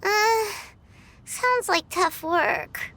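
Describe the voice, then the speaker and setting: A woman voicing a small, childlike fairy companion in a video game, squeaky, naive and plain-spoken. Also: drawn-out words, pushed and bright, slight background noise, heavily acted. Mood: puzzled. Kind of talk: cartoonish video game voice acting.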